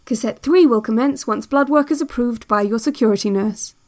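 A woman playing a calm, controlling cassette instructor in an audio drama, giving slow, measured, clinical guided meditation. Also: on the high side, close to an everyday voice. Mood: calm, instructive. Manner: measured, deliberate, hypnotic, clinical. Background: new-age music.

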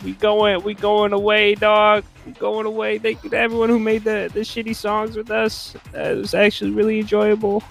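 A man's voice: high voice, level tone, normal speed, creaky, few pauses, fairly bright tone, faint music behind. A man hosting a casual online podcast in a jokey, playful way. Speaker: podcast host.

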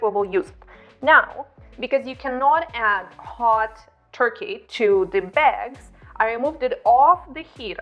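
Bubbly woman, bright pitch. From Ukraine. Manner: bubbly and chatty. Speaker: cooking channel host. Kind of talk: cooking tutorial to camera. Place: home kitchen indoors. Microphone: clip-on lapel mic.

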